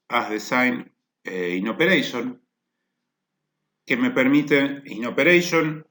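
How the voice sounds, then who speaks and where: A man's voice: mid-range voice, a bit bright. A man giving a technical workshop lecture, explaining step by step and often rephrasing his points to check the audience follows. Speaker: energy efficiency lecturer. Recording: echoey room.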